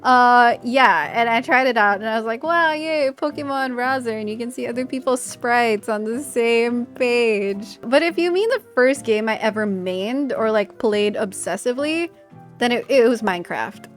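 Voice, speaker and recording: Young woman from the Philippines, gaming creator; bright higher voice, enthusiastic casual Q&A delivery over faint music. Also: moderate pace. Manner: chatty, enthusiastic, reading questions aloud. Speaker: gaming YouTuber.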